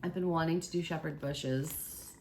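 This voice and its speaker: A woman's voice: low-pitched, fairly even intonation, moderate pace, vocal fry, a little mellow, slight background noise. A young woman with a Maine accent who drops her R's, chatting casually as a co-host. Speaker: podcast co-host. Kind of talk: casual chat.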